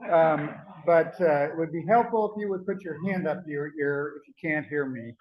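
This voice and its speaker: An older man with a soft voice, giving an earnest, measured conference talk with frequent ums and uhs. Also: on the high side, dull audio. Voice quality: soft-spoken. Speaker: conference speaker. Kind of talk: prepared talk at a symposium.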